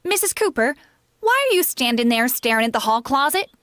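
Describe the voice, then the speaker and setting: A woman's voice: high-pitched, big pitch swings, fairly quick, a bit bright, no echo. A woman playing a loyal, wry housemaid in a 1940s-style radio comedy, with a dry, knowing, deferential manner. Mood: wry, prodding. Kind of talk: 1940s radio sitcom acting.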